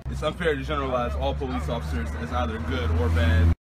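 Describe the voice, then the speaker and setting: A twenty-nine-year-old man speaking in a measured, composed way at an average pitch. He lays out his answers calmly and in order. Loud car noise from the street surrounds him. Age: late 20s. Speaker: interviewee. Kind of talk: street interview. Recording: loud outdoor traffic noise.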